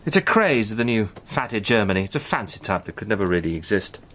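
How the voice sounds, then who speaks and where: An actor playing an earnest, impassioned British gentleman spy with a literary turn of speech in a radio drama. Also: on the low side, big pitch swings, fast. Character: Sandy, fervent eloquent British gentleman spy.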